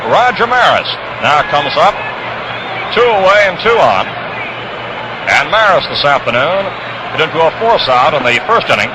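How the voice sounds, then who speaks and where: American guy doing old 1960s radio baseball play-by-play, kinda high voice, lots of noise on the recording, pauses for suspense. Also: very animated, dull audio. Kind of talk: 1960s radio sports commentary. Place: ballpark broadcast position. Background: crowd hum, noise.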